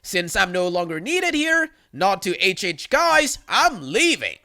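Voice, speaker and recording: A man playing defiant store manager, sarcastic, smug, emphatic, animated high voice, online story dialogue. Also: unhurried, heavily acted.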